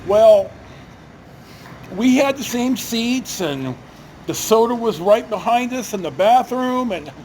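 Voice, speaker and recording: An older, retired man telling stories casually to camera in a halting, rambling, self-correcting way. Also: very high voice, animated, a little mellow, a little hiss or hum. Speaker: video storyteller.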